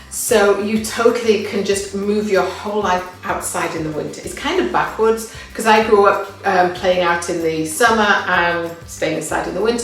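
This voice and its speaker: English woman, casual upbeat guide, mid pitch, roomy off-mic sound. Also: distant mic. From England. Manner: chatty and upbeat, with fillers and restarts. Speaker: local living guide. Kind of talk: casual talk to camera.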